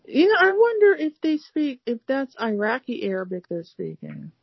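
A woman's voice: high-pitched, wildly swooping pitch, moderate pace, gravelly, slightly rough, no room sound, lo-fi sound. A woman from the United States chatting casually about learning languages, rambling with many fillers and restarts. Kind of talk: casual conversation.

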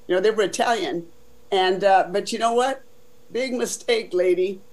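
An older woman from Southern California with a deep voice, telling her life story in a casual, chatty interview full of "you know" fillers. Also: normal speed, darkish tone.

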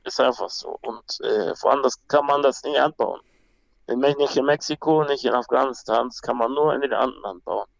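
Gravelly-voiced man, blunt storyteller. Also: mid-range voice, normal speed, muffled recording. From Peru. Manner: blunt, slangy storytelling with many restarts. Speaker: guest, MMA fighter. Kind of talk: casual conversation.

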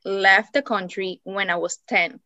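A woman's voice: medium pitch, steady tone, unhurried, pushed and bright, dry recording, lo-fi sound. A woman from Cuba, speaking hesitantly and reflectively as a guest in a personal podcast interview, often restarting her sentences.

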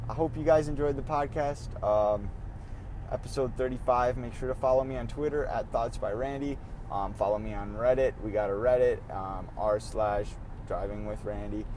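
A young man with a slightly raspy, tired voice hosting a casual solo podcast while driving, rambling and interrupting himself. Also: mid-range voice. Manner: rambling, animated, self-interrupting. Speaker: podcast host. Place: in a car, driving outdoors. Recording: faint road noise in a car. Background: car and street noise.

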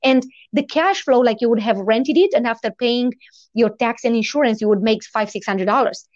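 A woman in her forties with a foreign accent, not a native English speaker, telling her story as an interview guest in a lively, animated way. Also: fairly high pitch, normal speed, no room sound, muffled recording. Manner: animated, lively storytelling with quoted inner thoughts.

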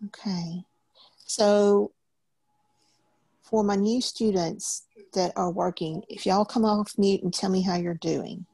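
A woman's voice: mid-range voice, fairly even intonation, takes it slowly, mellow, husky, no echo, rough recording. A woman in her forties patiently teaching a beginner loom knitting class over a video call, step by step. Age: 40s.

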